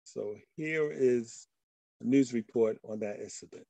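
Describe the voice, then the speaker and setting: A man's voice: mid-range voice, unhurried, vocal fry, mellow, no room sound. An older man giving a slide presentation to a discussion group over a video call. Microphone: video call microphone.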